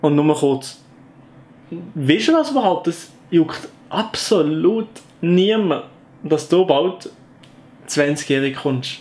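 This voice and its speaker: A young man in his twenties speaks slowly, in a skeptical, teasing way. His pitch moves up and down a lot. The room around him echoes noticeably. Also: animated, darkish tone. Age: 20s. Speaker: friend of the host. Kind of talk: comic sketch chat.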